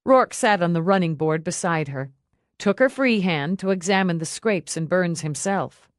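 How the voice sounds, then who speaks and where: Woman narrating fiction with animated pitch. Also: moderate pace, dry recording, studio quality. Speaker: audiobook narrator. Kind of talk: scripted fiction narration, expressive.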